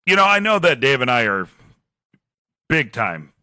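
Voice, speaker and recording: A man with a deep voice and a slight lisp, co-hosting a casual podcast, sarcastic and self-mocking, laughing often. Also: sing-song, normal speed, pushed and bright, dry recording, studio quality. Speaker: podcast co-host. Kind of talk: casual chat.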